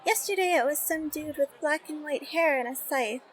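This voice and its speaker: A woman playing a bubbly, impatient teenage girl gamer in an audiobook, animated and teasing. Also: sits high, normal speed, slight background noise, close to an everyday voice, poor audio. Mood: urgent, explaining. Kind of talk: audiobook character acting.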